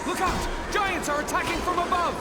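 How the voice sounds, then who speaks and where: A male actor playing an excitable, embattled fantasy soldier, calling out exclamatory battle lines in a game. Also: extremely high pitch, a little fast, over a noisy crowd. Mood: alarmed. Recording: loud music over battle dialogue.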